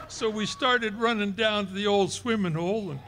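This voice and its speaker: A man's voice: sits high, background noise. A man playing a boastful, bumbling middle-aged husband in a live staging of a 1940s radio comedy, blustering and stammering. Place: ballroom stage performance.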